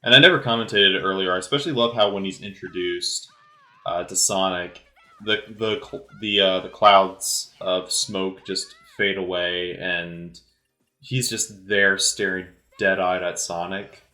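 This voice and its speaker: Low-voiced male film commentator, off the cuff and halting, crisp-edged tone, recorded in an echoey room. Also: steady tone, sharp tone. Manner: halting, hesitant, often repeating words. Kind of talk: casual unscripted movie commentary. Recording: roomy, echoey sound.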